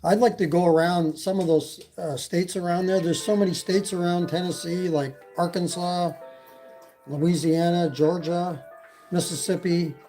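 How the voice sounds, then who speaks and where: A man's voice: sits high, steady tone, measured pace, warm tone, faint music behind. A man from Canada with a slight Canadian accent, hosting a casual e-bike live stream and chatting with viewers, with lots of fillers. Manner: rambling, many fillers, reads chat. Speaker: e-bike channel host.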